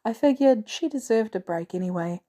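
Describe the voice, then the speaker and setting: A woman voicing a poised, caring female executive with composed, gently teasing delivery in a story reading. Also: medium pitch, lively intonation, normal speed, close to an everyday voice. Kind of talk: storybook character dialogue.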